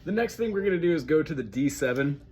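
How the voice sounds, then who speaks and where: Man, ukulele teacher, higher voice, chatty and friendly, loud strumming under him. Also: animated, fast talker, music in the background. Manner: friendly, chatty, explains chords while playing and singing along. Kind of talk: casual music lesson with singing. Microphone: recorded alongside his own ukulele playing.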